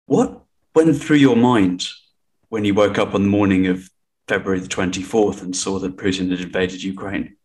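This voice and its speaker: A man's voice: low-pitched, moderate pace, darkish tone. A man hosting an interview podcast, putting prepared questions to a guest.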